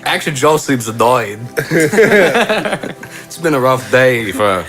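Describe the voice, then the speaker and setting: Man playing slow, animated wisecracking toy. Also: mid-range voice, music in the background. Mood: teasing. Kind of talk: improvised comedic toy drama.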